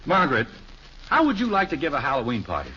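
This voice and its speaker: A man's voice: medium pitch, wildly swooping pitch, fast, sharp tone. An actor playing a blustery, nostalgic middle-aged American father in an old radio sitcom, with an emphatic, hearty delivery. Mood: hearty, persuading. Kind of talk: 1950s radio sitcom acting. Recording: dull, noisy old radio broadcast recording.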